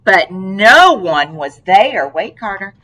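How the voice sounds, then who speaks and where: A woman reading a picture book aloud to a young child, expressive and prompting with questions. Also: mid-range voice, slow pace.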